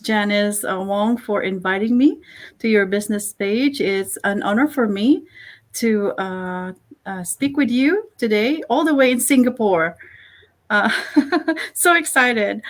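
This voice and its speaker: A middle-aged woman from the Philippines, a guest chatting on a video call, talking warmly with many fillers and soft laughs. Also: a little high. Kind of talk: casual interview chat.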